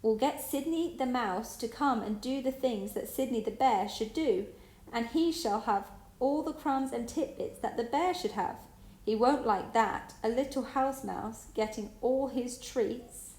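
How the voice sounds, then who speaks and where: A woman voicing a bossy, sharp-tongued female doll who lectures the others, in a children's story read aloud. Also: fairly high pitch, a little slow, roomy sound, close to an everyday voice, low-quality recording. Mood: scheming.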